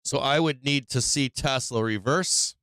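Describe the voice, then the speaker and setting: Guy going hoarse from being sick, casual stock stream host, mid pitch, dry close mic, keeps saying right?. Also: measured pace, a bit bright, dry recording. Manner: thinks aloud, asks rhetorical "right?". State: losing his voice from illness. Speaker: stock market livestream host. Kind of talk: casual trading chart commentary.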